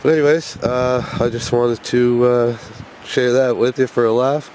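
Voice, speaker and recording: A man with a cold, stuffed up and hoarse, chatting casually, swearing and laughing while he drives his truck through snow. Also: medium pitch, fairly even intonation, unhurried. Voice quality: hoarse, scratchy. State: stuffed up with a cold, voice going froggy. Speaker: vlogger. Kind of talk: casual rambling vlog while driving. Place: in a vehicle, driving in snow. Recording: loud vehicle and road noise.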